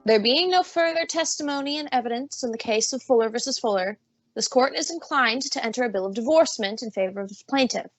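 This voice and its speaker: A woman's voice: a little high, fast talker, a little hiss or hum, natural voice. A woman playing a stern, stuffy judge in old-time radio comedy, formal and officious in delivery.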